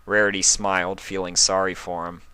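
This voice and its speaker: A man's voice: deep voice, level tone, moderate pace, pushed and bright, clean tone, dry recording. A man reading a story aloud as a scripted third-person narrator. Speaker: fan fiction reader, narrator.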